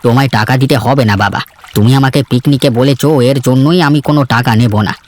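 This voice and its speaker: A man's voice: mid-range voice, fast talker, close to an everyday voice. Man playing kindly older village boatman uncle. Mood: kindly, insistent. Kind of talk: cartoon story dialogue.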